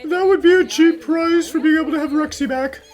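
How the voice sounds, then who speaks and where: Woman as an imperious high-voiced rich madam. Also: fairly quick, a little mellow, raised voice, soft background music, close to an everyday voice. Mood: haughty. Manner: imperious, melodramatic. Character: wealthy, demanding madam doting on her pet. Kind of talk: fan dub game voice acting.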